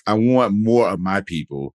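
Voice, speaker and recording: Brief: male podcast host, very low voice, easygoing chatty delivery, dry close sound. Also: expressive, normal speed, no echo. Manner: laid-back, peppers speech with "man" and "you know". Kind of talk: casual interview chat.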